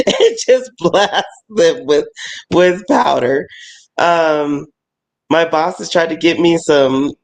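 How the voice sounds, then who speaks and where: Gravelly-voiced woman just chatting, rambly, lots of ums, haunt performer. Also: deep voice, takes it slowly. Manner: rambling, many fillers and restarts. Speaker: guest, haunted attraction performer. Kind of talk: casual chat.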